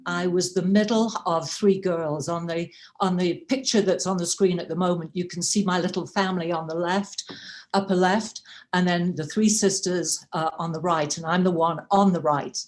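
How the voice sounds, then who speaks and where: A woman with a Welsh accent and a fairly low voice talks her club through her background. She is chatty and self-deprecating, with plenty of uhs, heard over a video call. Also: fairly even intonation, moderate pace. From rural North Wales. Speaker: club member giving a talk. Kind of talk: personal presentation at a club meeting.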